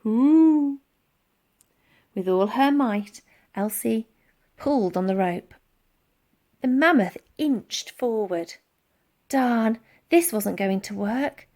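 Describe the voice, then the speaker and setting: A woman reading a children's storybook aloud in a dramatic, expressive narrating style. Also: mid-range voice, slow pace, long pauses. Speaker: audiobook reader.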